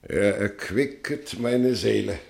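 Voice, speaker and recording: An actor playing a middle-aged, brooding and anguished pastor in a German radio play, speaking solemnly. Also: male voice, on the low side, gravelly, a little mellow. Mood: anguished fervour. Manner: slow, solemn.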